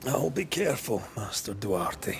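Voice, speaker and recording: Wry, lively Scottish rogue. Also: male voice, fairly low pitch, unhurried, creaky, exaggerated voice. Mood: wry, affectionate. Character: roguish, wry, plain-spoken Scottish ghost-hunter. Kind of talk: game cutscene dialogue.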